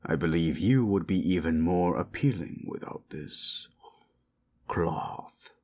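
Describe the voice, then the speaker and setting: A man voicing a proud, possessive forest monster in human shape, gravelly and husky, speaking in stilted, imperious phrases for an audio roleplay. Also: sits low, a little mellow, exaggerated voice. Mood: curious, teasing. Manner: slow, imperious, formal. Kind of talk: dramatic audio roleplay, stilted formal speech.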